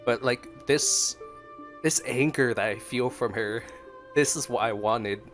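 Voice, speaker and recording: Male game streamer, medium-pitched, casual and offhand reaction commentary with faint music behind. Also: a little slow, fairly bright tone.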